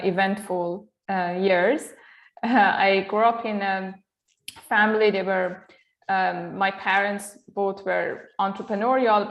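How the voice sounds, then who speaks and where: Iranian-woman, flat even delivery. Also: mid-range voice, roomy sound. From Iran. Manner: measured, many um fillers and pauses. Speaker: guest, entrepreneur and lecturer. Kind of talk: interview conversation.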